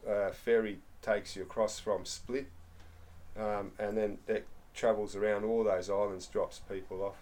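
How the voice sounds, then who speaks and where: A man's voice: on the low side, little pitch movement, measured pace, a little mellow. A middle-aged man chatting casually, explaining things at length with lots of restarts. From Australia. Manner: rambling, explains with many fillers and restarts. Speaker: interviewee. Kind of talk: casual conversation.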